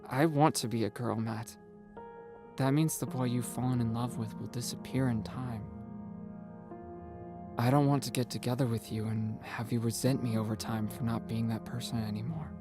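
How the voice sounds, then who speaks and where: A man voicing a gentle, worried transgender teenage girl, soft and hesitant, in a story reading. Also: medium pitch, fairly even intonation, normal speed, over music, close to an everyday voice. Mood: sad, resigned.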